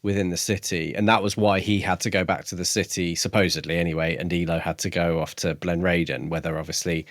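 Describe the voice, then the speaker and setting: A man with a lowish voice speaks in a very dry, close-sounding recording. He is a podcast co-host in casual conversation, tentatively musing as he builds each thought. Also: fairly even intonation, fast, hardly any pauses, no room sound. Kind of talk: casual discussion.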